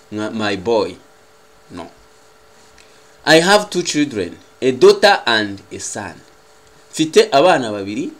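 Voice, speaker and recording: A man with a Rwandan accent, a native Kinyarwanda speaker, teaching a language lesson in a deliberate, carefully enunciated way as he repeats phrases. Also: a little high. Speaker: English teacher.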